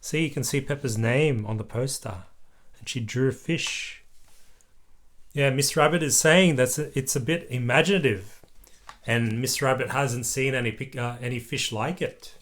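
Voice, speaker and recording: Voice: medium pitch, normal speed. A parent reading a children's story aloud expressively, with patient explanatory asides to a small child.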